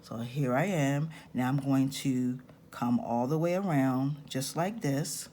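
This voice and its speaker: Slow-talking raspy woman teaching crafts. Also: rumbling low, dark tone, a little hiss or hum. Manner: slow, patient step-by-step instructions. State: raspy-voiced this morning. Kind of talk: hands-on craft tutorial.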